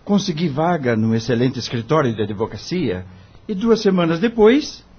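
A man's voice: fairly high pitch, very animated, moderate pace, breathy edge, dull audio. An actor playing an earnest, discouraged young male lawyer in a radio drama, respectful and pleading, with a Brazilian accent. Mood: dismayed.

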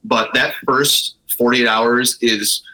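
A man's voice: mid-range voice, fairly even intonation, slow pace, pushed and bright, low-quality recording. A man speaking as an interview guest, telling things in an informal, rambling way. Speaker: guest, brewery co-owner. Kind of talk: casual interview storytelling.

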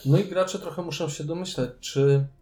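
A man's voice: on the high side, steady tone, moderate pace, warm tone. A man giving casual opinions as co-host of a board game show. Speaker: podcast co-host.

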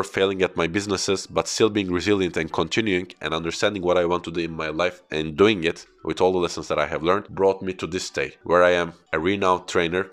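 A man's voice: low voice, a bit bright. A man in his thirties from Turkey, a native Turkish speaker, hosting a solo podcast in English and earnestly telling his own story. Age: 30s. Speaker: podcast host and corporate trainer.

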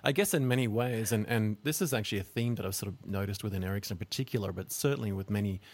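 A male host fires off long, winding questions with enthusiasm. He talks quickly in a lowish voice. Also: darkish tone. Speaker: podcast host. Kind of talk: interview hosting.

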